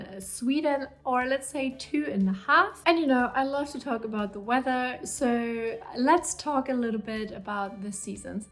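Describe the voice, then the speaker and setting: Upbeat German-accented woman vlogging. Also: fairly high pitch. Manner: chatty, upbeat, lots of "you know". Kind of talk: casual vlog talk to camera.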